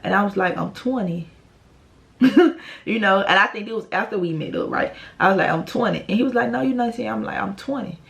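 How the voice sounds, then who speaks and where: A woman, telling a profane, animated storytime to her vlog viewers. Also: mid-range voice, brisk, vocal fry. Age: 20s. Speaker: vlogger. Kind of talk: casual storytime monologue.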